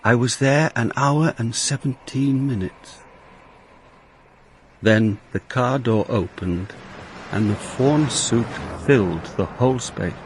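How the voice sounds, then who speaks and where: An actor playing a dogged, dry police inspector in a radio crime drama, curt in questioning and measured in narration. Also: male voice, mid-range voice, a little hiss or hum. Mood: wry, reflective.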